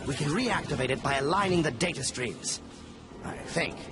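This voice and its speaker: An actor voicing a technically minded robot advisor in a video game, explaining things in a musing, tentative way. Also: male voice, on the high side, expressive, normal speed, lightly acted. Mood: musing, helpful. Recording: loud music under the voice.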